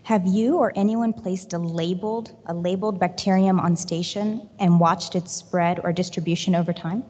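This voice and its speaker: A woman's voice: lowish voice, steady tone, normal speed, warm tone. Polite lady hosting the q&a, dull muffled sound in a big hall. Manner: polite, courteous. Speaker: session moderator. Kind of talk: moderating a conference session.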